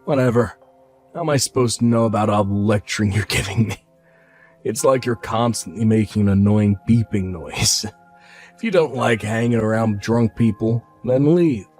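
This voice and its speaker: Man as lowish-voiced smug brother, brushing things off, soft music. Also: normal speed, close to an everyday voice. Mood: flippant. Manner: dismissive, flippant. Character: careless young brother, dismissive and smug.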